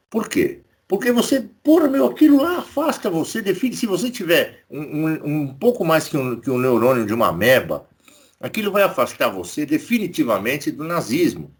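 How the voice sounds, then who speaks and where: A man's voice: medium pitch, husky. A man with a Brazilian Portuguese accent giving casual political commentary as co-host, indignant and sarcastic, swearing freely.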